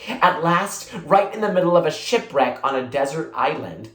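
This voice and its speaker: A narrator reading a children's book aloud in an expressive storytelling style. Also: mid-range voice, normal speed. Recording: roomy, echoing sound.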